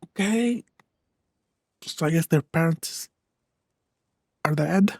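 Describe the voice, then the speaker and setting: Low, mellow woman reacting to a game. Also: takes it slowly, vocal fry, no room sound. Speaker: game streamer. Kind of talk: casual reaction commentary. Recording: dry mic over game music.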